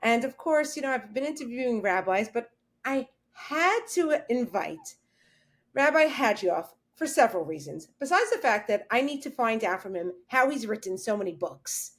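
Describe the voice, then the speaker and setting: Upbeat woman host, a touch high, introduces and asks questions. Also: lively intonation, normal speed. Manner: enthusiastic, laughs often. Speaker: podcast host. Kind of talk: interview hosting.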